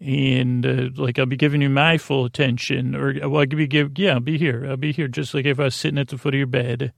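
A man in his forties with a gravelly, creaky voice at a middling pitch, recorded very dry. He hosts a bedtime podcast as a casual ramble, wandering and stumbling over his phrases, often leaving a thought half finished. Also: flat delivery, no room sound. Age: 40s. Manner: meandering, halting, repeats and trails off mid-thought. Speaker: sleep podcast host.

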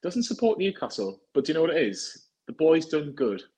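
A man's voice: a little high, very animated, fairly quick, darkish tone, breathy edge. A young man hosting a football podcast, chatty and teasing with rambling asides. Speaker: podcast host.

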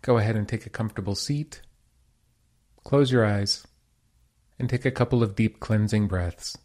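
A man leading a guided meditation as a teacher, giving calm, measured, gentle instructions. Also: fairly low pitch.

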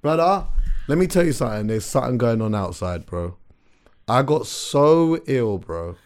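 Young man, hoarse voice, animated, slangy, podcast host. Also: medium pitch, moderate pace. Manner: animated storyteller, slangy, calls everyone bro. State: hoarse, recovering from illness. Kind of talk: casual chat.

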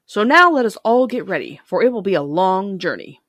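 A woman voicing a thoughtful, loyal and practical scarecrow in a storybook, with a measured, reasoning manner. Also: medium pitch, big pitch swings, fairly bright tone, dry recording, studio quality. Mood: thoughtful, decided. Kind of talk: storybook character reading.

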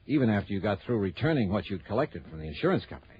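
A man's voice: on the low side, very animated, rapid-fire, a little hiss or hum. An actor playing a tough, sardonic male insurance investigator in a 1960s radio detective drama, bluntly accusing. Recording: muffled old 1960s radio recording.

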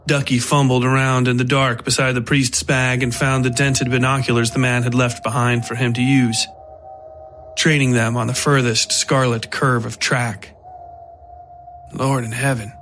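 A man reading scripted dark fiction as the narrator of a story podcast. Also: mid-range voice, flat delivery, normal speed, faint music behind. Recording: faint noise behind the voice.

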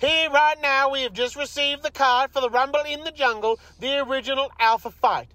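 A woman giving a hyped, theatrical fight announcement, excited and sweary. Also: high voice, fairly quick, no room sound.

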